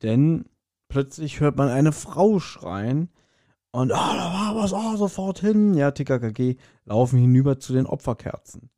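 A man's voice: mid-range voice, animated, unhurried, mellow, no room sound, clean studio sound. A man co-hosting a podcast in casual, colloquial chat, given to joking exaggeration. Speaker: podcast host. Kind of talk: casual chat reviewing an audio drama.

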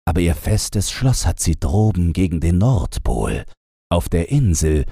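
Storybook part: a gentle reindeer, slow and hushed, dark husky tone, as a male reader voices him. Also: deep voice, big pitch swings, no echo, clean studio sound, full character voice. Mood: dreamy.

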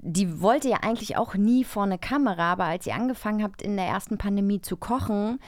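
A woman's voice: medium pitch, brisk, darkish tone, no echo, clean studio sound. A woman chatting casually as a co-host, with an emphatic, effusive way of talking. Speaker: podcast co-host. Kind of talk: casual chat.

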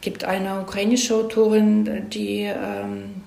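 A woman's voice: medium pitch, little pitch movement, takes it slowly, vocal fry, mellow. Woman explaining stuff in an interview, casual, lots of little "right?" tags, echoey room. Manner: conversational, frequent "ne?" tags seeking agreement. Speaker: screenwriter being interviewed.

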